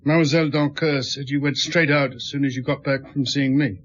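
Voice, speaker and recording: An actor playing a calm, persistent police inspector in a radio drama, asking terse, courteous, probing questions. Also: male voice, mid-range voice, a little fast, no echo, muffled recording, close to an everyday voice.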